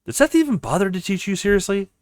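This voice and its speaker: A man's voice: high voice, big pitch swings, fast talker, dry recording, pitched above the performer's own voice. A man voicing a cocky, haughty retired female mercenary who taunts her opponent, in a visual novel read-aloud. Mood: taunting.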